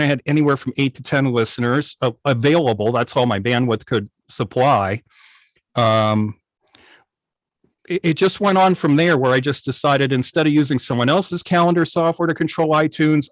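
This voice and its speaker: A middle-aged man casually telling his own story on a video call, pausing often as he finds his words. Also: medium pitch, no echo. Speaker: guest, founder of an old-time radio station. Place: online video meeting. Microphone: video call microphone.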